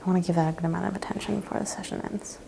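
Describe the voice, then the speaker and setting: A woman speaking softly and breathily, at times in a whisper, as a gentle, reassuring practitioner in an ASMR role-play. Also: fairly low pitch, fairly even intonation, rapid-fire, vocal fry, a little hiss or hum. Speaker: ASMR host role-playing a chiropractor.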